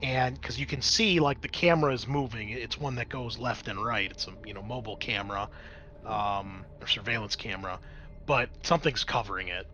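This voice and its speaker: Male game master, bright voice, improvised narration, rules talk, dull recording, light background noise. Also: mid-range voice, fairly quick.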